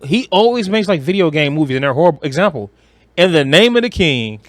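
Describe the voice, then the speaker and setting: A man co-hosting a podcast, chatting casually about movies and games in an animated, exclamatory, slangy way. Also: on the high side, quick pace, no echo. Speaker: podcast co-host.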